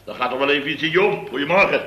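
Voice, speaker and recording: An actor playing a boastful, muddled contractor boss of fifty in a 1960s radio play. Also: male voice, mid-range voice, lightly acted. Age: 50s. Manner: blustering, rambling, chuckles at himself. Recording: echoey room with faint noise.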